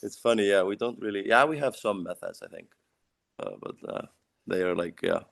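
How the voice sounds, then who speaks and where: A young Icelandic-accented man with a low, gravelly voice, talking casually about his band. English is his second language, so he pauses to hunt for words and fills the gaps with "like.". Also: fairly bright tone. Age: young adult. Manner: searches for English words, leans on "like". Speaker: band singer. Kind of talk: casual interview answers.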